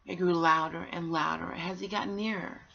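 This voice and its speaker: A woman with a slightly husky voice reads a storybook aloud as bedtime narration, unhurried, pausing between phrases. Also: fairly low pitch, steady tone, pushed and bright, slight background noise. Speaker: amateur bedtime story reader. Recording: dull, lo-fi home recording.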